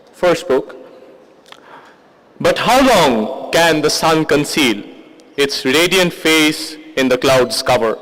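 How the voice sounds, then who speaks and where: A man with an Indian accent presents and recites translated verse. His voice sits high for a male, and his delivery is measured and literary. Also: a bit bright, rough recording. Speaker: poetry translator and reader. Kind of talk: introducing and reciting translated poetry.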